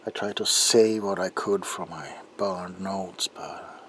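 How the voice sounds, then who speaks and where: A man playing an anguished, guilt-ridden doctor confessing in an ASMR roleplay monologue, halting and intense, with a breathy voice that often drops into a whisper. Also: deep voice, fairly even intonation, fairly bright tone, a little hiss or hum. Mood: grim remorse. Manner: halting, haunted, intense, broken phrases.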